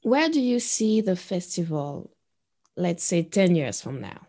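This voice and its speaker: Slow-speaking woman podcast host from Guadeloupe. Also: fairly low pitch, very animated, dark tone. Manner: slow, attentive, frequent murmured agreement. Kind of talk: podcast interview.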